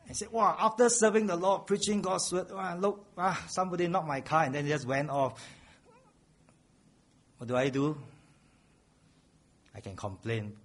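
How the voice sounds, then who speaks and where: A man's voice: a little high, normal speed, long pauses. A man preaching a sermon, earnest, repeating a refrain and building to raised emphasis.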